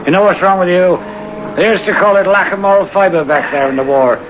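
A man's voice: sits high, a little fast, lightly acted. Man playing a blunt beat cop, muffled recording. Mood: stern, scornful. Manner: blunt, lecturing. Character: gruff but kindly male beat policeman. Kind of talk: 1940s-50s radio drama acting. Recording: noisy, muffled old radio recording.

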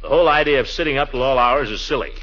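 A man's voice: mid-range voice, rapid-fire, fairly bright tone, lightly acted. An actor playing a blustering, excuse-making middle-aged man with big airs, theatrical and drawn out, in 1940s radio comedy style. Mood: hearty. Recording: muffled, noisy 1940s radio recording.

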